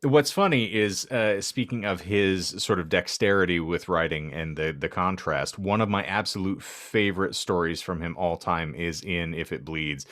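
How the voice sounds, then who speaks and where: A man's voice: sits low, normal speed, hardly any pauses, fairly bright tone, dry recording, studio quality. A man co-hosting a podcast, talking casually about books in wordy, articulate phrasing. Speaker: podcast co-host. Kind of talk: casual book chat.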